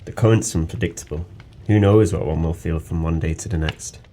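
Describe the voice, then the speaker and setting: An actor playing a guilt-ridden, drunken former sailor in an audio drama, bleak and bitter, with a brooding, poetic delivery. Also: male voice, low voice, fairly quick, mellow. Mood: bitter, resigned. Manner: brooding, poetic, theatrical monologue.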